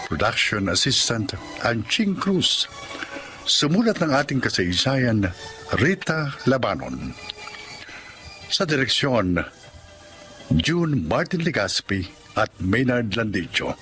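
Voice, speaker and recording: A man with a Filipino accent announces a radio drama in a very measured pace. His mid-pitched delivery swings expressively, with clear music running under the scripted narration. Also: big pitch swings, fairly bright tone. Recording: prominent music behind the voice.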